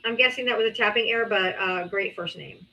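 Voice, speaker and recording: A woman's voice: medium pitch, brisk, pushed and bright, highs cut off. A woman hosting a live political debate stream, blunt and scolding, swearing freely at callers.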